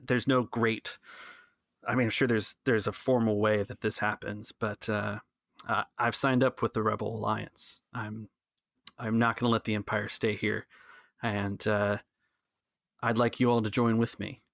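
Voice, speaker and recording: A man playing a protective, cautious rebel organiser in a tabletop game, reassuring and measured but halting. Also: lowish voice, level tone, vocal fry, a bit bright, no echo, studio quality. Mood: earnest, planning.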